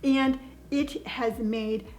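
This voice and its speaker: A woman's voice: a little high, steady tone, very slow, a little mellow. A middle-aged woman talking earnestly to her viewers about taking back her power, emphatic, sighing now and then and restarting her words. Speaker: vlogger sharing life advice. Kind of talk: personal motivational talk to camera.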